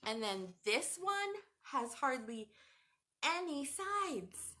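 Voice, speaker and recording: A woman hosting a children's craft lesson at home, talking straight to young viewers in an animated, sing-song way. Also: high voice, slow. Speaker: children's show host. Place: home room with a cat nearby.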